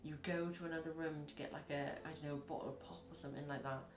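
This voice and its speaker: A woman with a husky voice, reading tarot in a chatty, rambling way and acting out little scenes as she goes. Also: sits low, steady tone, quick pace. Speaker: tarot reader. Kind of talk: casual tarot reading to camera. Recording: echoey room.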